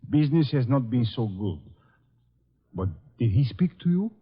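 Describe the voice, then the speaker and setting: An actor playing an anxious, evasive flower-shop owner with a foreign accent, halting and nervous, in an old radio drama. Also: male voice, mid-range voice, very animated, slightly rough. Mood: nervous, defensive. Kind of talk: 1940s-50s radio drama acting. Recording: dull old radio recording.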